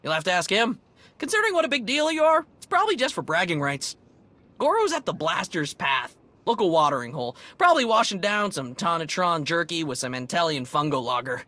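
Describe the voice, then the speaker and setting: A woman voicing an excitable, chatty alien local in a video game, gushing and informal, with a husky edge. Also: fairly low pitch, brisk, no room sound, exaggerated voice. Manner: gushing, breezy, informal slang. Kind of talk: video game cutscene acting.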